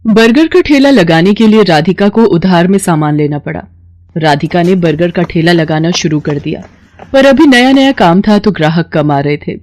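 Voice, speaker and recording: A woman's voice: lowish voice, moderate pace, darkish tone. A woman narrating a scripted story as its storyteller.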